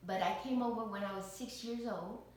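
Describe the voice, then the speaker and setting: A woman's voice: mid-range voice, brisk, darkish tone, a little hiss or hum. A woman from Vietnam being interviewed as a parent, speaking hesitantly with many fillers and restarts. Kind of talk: casual recorded interview. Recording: echoey room, distant mic.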